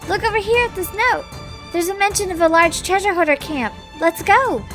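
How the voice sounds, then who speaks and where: A woman voicing a small, childlike fairy companion with a high squeaky voice and an inquisitive, worried manner, as in a video game story. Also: normal speed, a bit bright, soft background music. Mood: eager. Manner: refers to herself in third person, questioning.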